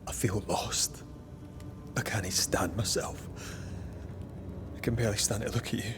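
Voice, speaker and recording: An actor playing a tormented, war-haunted man devoted to his lover, speaking gravely and haltingly, often dropping to a murmur, in video game dialogue. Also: on the low side, steady tone, natural voice. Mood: despairing. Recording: music under the voice.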